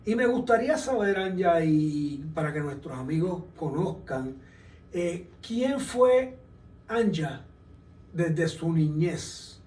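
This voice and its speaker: High-voiced male show host, roomy. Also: sing-song, takes it slowly, darkish tone. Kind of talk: interview hosting. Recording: roomy, distant mic.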